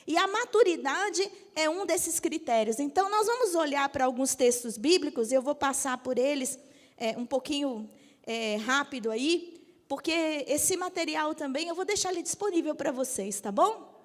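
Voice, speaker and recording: A woman in her fifties with a Brazilian Portuguese accent, a bishop giving a lively church teaching talk and joking with the audience. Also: sits high, moderate pace, in a large hall. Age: 50s. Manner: lively, jokes with the audience, asks for agreement.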